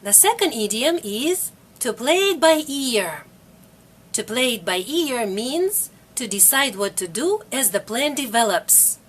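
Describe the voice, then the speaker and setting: English teacher lady, middle-aged, bouncy sing-song voice, talks slow and repeats stuff. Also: fairly high pitch, very animated. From Russia. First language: Russian. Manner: repeats each phrase clearly for learners. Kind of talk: slow, careful video lesson.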